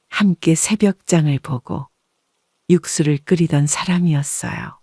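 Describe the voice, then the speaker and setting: A woman reading a first-person story as an audiobook narrator in a measured, composed way. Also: sits low, warm tone, no room sound, studio quality.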